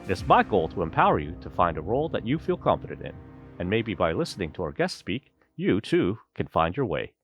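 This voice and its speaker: A man's voice: low-pitched, big pitch swings, a little fast, slightly rough, highs cut off, faint music behind. A man from Canada hosting an interview, chatty and friendly, often restarting his sentences with fillers. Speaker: podcast host. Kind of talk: casual interview.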